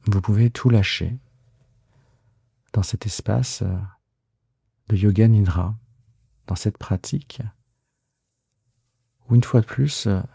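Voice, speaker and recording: A man guiding a relaxation meditation in a soft, husky, gravelly voice, soothing and unhurried, with hesitant pauses. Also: lowish voice, no echo, studio quality. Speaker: yoga nidra guide and podcast host.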